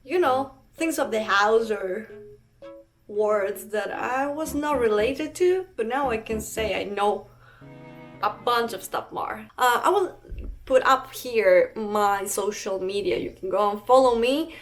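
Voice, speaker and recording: A woman's voice: on the high side, expressive, slow, music in the background. A young woman from Ecuador with an Ecuadorian Spanish accent, telling a rambling casual storytime vlog full of fillers and restarts. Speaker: vlogger.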